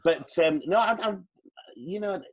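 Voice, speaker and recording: A man's voice: high voice, expressive, unhurried. A man chatting casually and jokily as a podcast guest, a pantomime performer. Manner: chatty, joking. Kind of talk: casual jokey chat.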